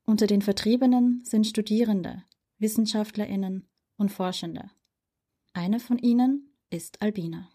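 A woman hosting a radio programme, reading a measured scripted introduction and interviewing a guest. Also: mid-range voice, dark tone. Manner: clear, measured delivery.

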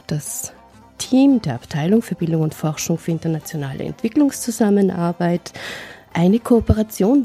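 A woman hosting a live radio talk show from a studio, introducing guests in a formal, measured way. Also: medium pitch, dark tone, husky.

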